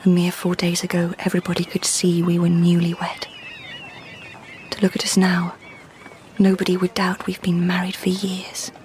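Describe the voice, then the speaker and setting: An actress playing an anxious but strong-willed young British bride in a Victorian radio drama, intense and questioning. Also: female voice, fairly low pitch, completely flat delivery, normal speed, a little mellow, a little hiss or hum, natural voice. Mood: bitter. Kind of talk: period radio drama acting.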